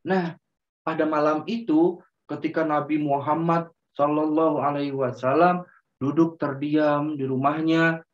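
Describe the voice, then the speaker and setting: A man telling a story to children in a gentle, drawn-out way, often turning to them as friends. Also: on the high side, steady tone. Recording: roomy, distant, dull sound.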